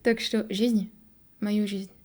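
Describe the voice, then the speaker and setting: A young French woman with a French accent, talking to camera in a learner's halting Russian, pausing often to search for words and correct herself. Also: medium pitch, flat delivery, a little mellow. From France. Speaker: vlogger. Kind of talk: casual monologue to camera.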